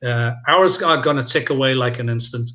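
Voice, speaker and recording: A man's voice: medium pitch, moderate pace, pushed and bright. A man giving his opinions as a panelist in a casual, informal livestream chat about films. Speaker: panelist on a film discussion livestream.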